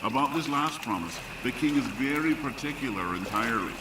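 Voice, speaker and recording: A man's voice: medium pitch, expressive, a little fast, background noise, lightly acted. An actor playing a canny Irish countryman in an audio drama, speaking with an Irish accent. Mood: firm, bargaining. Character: canny Irish countryman, bargaining host of the fairies.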